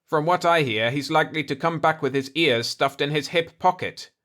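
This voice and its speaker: A man voicing an indignant, gruff chief of state who complains combatively in a sci-fi audiobook. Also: fairly high pitch, normal speed, fairly bright tone, pitched above the performer's own voice, natural voice. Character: indignant, blustering cigar-chewing planetary chief of state, male.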